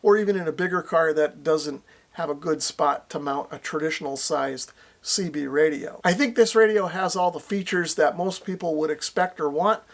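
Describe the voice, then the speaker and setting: A man's voice: a little high, moderate pace. A middle-aged American man giving a casual product review to camera as a radio reviewer. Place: small indoor workshop at a radio bench.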